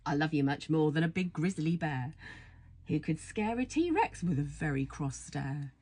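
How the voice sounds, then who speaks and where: A woman reads a children's bedtime story aloud in a soft, husky voice, affectionate and playful, full of wonder. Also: low voice, expressive, moderate pace. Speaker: storybook reader.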